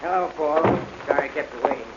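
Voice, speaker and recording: A man's voice: a little high, quick pace, close to an everyday voice. An actor playing a polite, calculating businessman villain with a composed manner, in 1940s radio style. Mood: scheming. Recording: dull, noisy 1940s radio recording.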